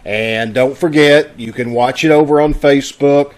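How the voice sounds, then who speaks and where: A man's voice: mid-range voice, normal speed. A man casually hosting a live online broadcast on a video call, making announcements in a folksy, conversational way. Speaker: host of a live online radio-troupe broadcast.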